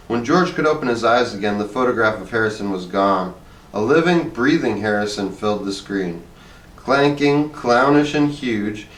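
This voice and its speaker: A man reading a short story aloud as scripted narration. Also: on the low side. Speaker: story reader. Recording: echoey room, distant microphone.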